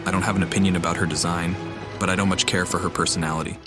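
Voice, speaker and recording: A man's voice: sits low, little pitch movement, rapid-fire. A man talking casually and frankly, giving his own opinions as he plays a video game. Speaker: game player commenting. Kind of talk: casual gameplay commentary. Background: game music.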